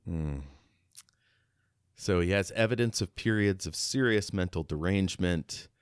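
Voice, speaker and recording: Man, low-pitched, clean smooth tone, casual book talk, thoughtful, dry recording. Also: measured pace, dry recording. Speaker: podcast co-host.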